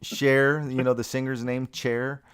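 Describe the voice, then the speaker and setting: A man's voice: medium pitch, level tone, a little slow, clean tone. A man co-hosting a fight podcast, teasing his co-hosts with jokes and swearing freely. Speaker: podcast co-host. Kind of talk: casual podcast banter.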